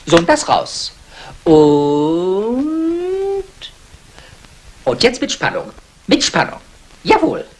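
Exacting, swooping-voiced dance coach. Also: female voice, lowish voice, big pitch swings, a little mellow, exaggerated voice. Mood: drilling, exacting. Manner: drawn-out counting, barked instructions. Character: exacting, world-weary female dance coach for stage girls. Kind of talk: 1960s German radio crime drama acting. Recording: faintly noisy vintage radio recording.

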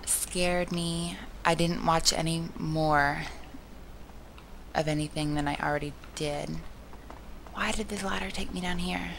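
Young female gamer, hoarse from a sore throat, low flat gravelly voice, playful self-mocking commentary, noisy mic. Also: unhurried. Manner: chatty and self-mocking, drops into soft whispery asides. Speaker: gameplay commentator. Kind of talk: casual let's-play commentary.

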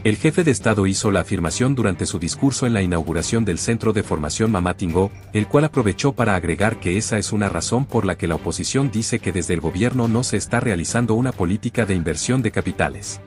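A man reading a scripted news voice-over in an even, formal reporting delivery. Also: on the low side, fast talker, hardly any pauses. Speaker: news narrator. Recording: voice-over with faint music behind.

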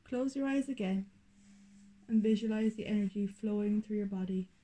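Woman guiding meditation, very mellow soft tone. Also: medium pitch, poor audio. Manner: slow, soothing, deliberate delivery of short phrases. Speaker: meditation guide. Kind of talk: guided meditation narration.

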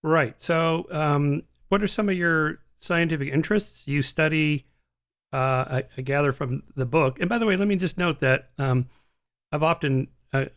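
A man in his sixties hosting an interview podcast, talking casually with halting restarts into a studio microphone. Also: fairly high pitch, fairly bright tone. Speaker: podcast host. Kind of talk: interview conversation. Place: home studio, indoors. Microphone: large studio mic on a stand.

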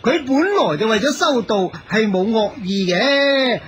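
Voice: medium pitch, animated, measured pace, highs cut off, lightly acted. An actor in a Cantonese radio drama playing a mad, mischievous holy monk with a clownish, teasing way of exclaiming. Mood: jovial.

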